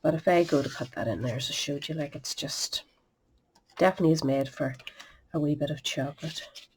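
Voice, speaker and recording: A middle-aged woman talks her way through a card-making project in a chatty, homely manner. She sounds a bit sniffly from a cold and often drops into quiet muttered asides, over a faint background hiss. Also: low-pitched, a little fast, low-quality recording. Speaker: craft tutorial host. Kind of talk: step-by-step crafting demonstration.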